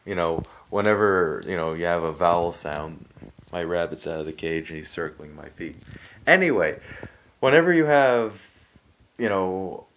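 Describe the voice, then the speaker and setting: A man's voice: low voice, animated, normal speed, a bit bright. A young man from Canada with a Canadian accent, chatting off the cuff to his webcam at home and filling his talk with "you know" and "right". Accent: Canadian, southern Ontario. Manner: rambling, many fillers like "you know" and "right". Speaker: vlogger. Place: room at home, dim lighting.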